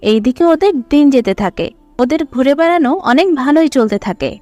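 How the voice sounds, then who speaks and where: A woman's voice: high voice, animated, a little fast, mellow, no echo, dull audio, faint music behind. A woman narrator reading a scripted dramatic story.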